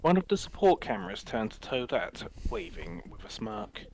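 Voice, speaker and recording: A man's voice: lowish voice, very animated, normal speed, darkish tone, faint music behind. A man reading scripted story narration aloud on a livestream, as the narrator of a group reading.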